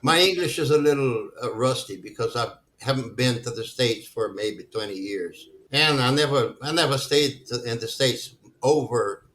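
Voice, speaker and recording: Older Brazilian man, bright rusty Southern drawl. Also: medium pitch, moderate pace, hardly any pauses, low-quality recording. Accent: Brazilian Portuguese with a Southern US tinge. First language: Portuguese. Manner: many restarts and repeated words, laughs easily. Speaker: interviewee. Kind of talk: casual conversation.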